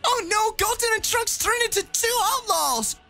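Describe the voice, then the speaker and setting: Squealing, panicked mom character in falsetto, played by a man. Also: animated, normal speed, gravelly, bright, forceful tone, faint music behind, exaggerated voice. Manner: panicked crying out. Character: frantic middle-aged mother, shrill.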